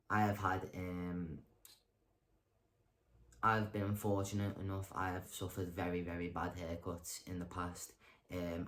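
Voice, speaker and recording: A young man vlogging in a casual monologue, rambling and hesitant, with lots of ums and self-corrections and deadpan self-mocking humor. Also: sits low. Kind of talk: casual talking-to-camera monologue.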